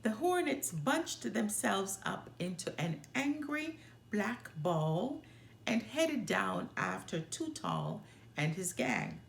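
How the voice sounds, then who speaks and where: A woman reading a children's storybook aloud as an expressive narrator. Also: medium pitch, takes it slowly, creaky, roomy sound, a little hiss or hum. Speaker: storyteller, children's book reader.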